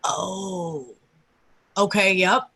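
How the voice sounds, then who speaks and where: Woman co-host, agreeable, mid pitch, short replies. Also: drawn-out words, fairly bright tone, husky, poor audio. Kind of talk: online talk show discussion.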